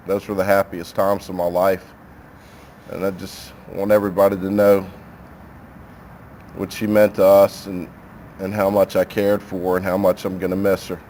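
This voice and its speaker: A man giving a heartfelt, sincere funeral eulogy as a grieving son, speaking haltingly. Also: sits low, a little mellow. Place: funeral chapel. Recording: noisy live room recording.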